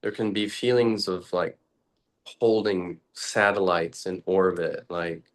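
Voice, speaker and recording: Very slow, low-voiced male meditation guide. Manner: halting, deliberate, with long pauses between short phrases. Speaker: meditation teacher.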